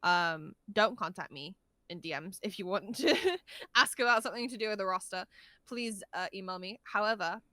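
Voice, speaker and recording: A woman's voice: mid-range voice, animated, normal speed, sharp tone, dry recording. A woman chatting casually and effusively as a podcast guest, with a giggly, talkative manner. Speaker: guest voice actor.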